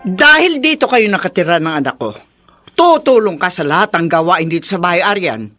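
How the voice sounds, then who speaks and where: A woman's voice: lowish voice, very animated, a little fast, fairly bright tone, soft background music. An actress playing a domineering, scornful middle-aged mother-in-law with a Filipino accent, bossy and sarcastic, in a radio drama. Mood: stern, scornful.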